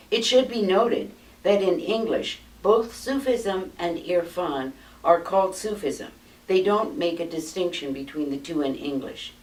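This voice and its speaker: An American woman in her eighties, with a fairly low voice, reads her life story from notes in a measured, unhurried manner. She sits in a small room, and the camera's mic is some distance away, so her voice sounds roomy and distant. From United States. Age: 80s. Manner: measured, steady reading from notes. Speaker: memoir narrator. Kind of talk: reading a prepared life story aloud. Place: small indoor room, seated.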